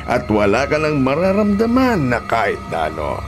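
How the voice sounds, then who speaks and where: A man's voice: mid-range voice, wildly swooping pitch, normal speed, over music. An actor in a Filipino radio drama playing a menacing, mocking middle-aged surgeon father, taunting and theatrical, mixing Tagalog and English. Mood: gloating, taunting.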